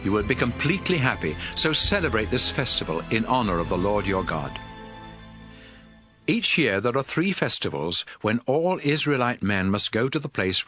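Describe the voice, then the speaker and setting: A man playing a solemn prophet lawgiver in a dramatized audio Bible, addressing his people with emphatic, deliberate, instructive phrasing. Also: medium pitch, bright, forceful tone, husky, dry recording, heavily acted. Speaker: scripture narrator.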